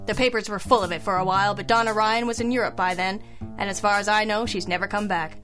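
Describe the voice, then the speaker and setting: An actress playing a composed, sharp female detective in an old-style radio drama, matter-of-fact, knowing and wry. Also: medium pitch, steady tone, quick pace, faint music behind, close to an everyday voice. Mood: wry narration.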